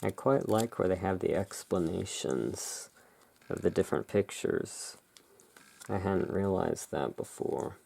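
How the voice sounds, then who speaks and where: A low voice with a lot of fry moves very slowly through a gothic ASMR roleplay. The delivery is hushed and slightly theatrical, shifting between reading cards aloud and relaxed commentary. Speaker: ASMR creator, tarot deck reviewer.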